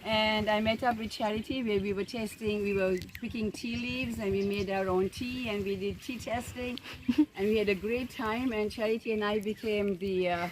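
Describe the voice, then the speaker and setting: A woman's voice: mid-range voice, fairly even intonation, normal speed. Enthusiastic woman guest outdoors, noisy. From Sri Lanka. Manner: enthusiastic, gushing. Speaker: tour guest. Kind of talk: casual chat. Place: outdoors on a farm. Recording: noisy outdoor phone recording.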